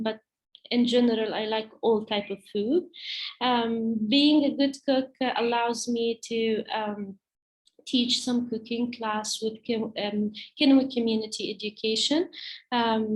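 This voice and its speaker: Higher-voiced woman with an Arabic accent. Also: low-quality recording. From Palestine. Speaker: teacher. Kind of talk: prepared presentation to parents.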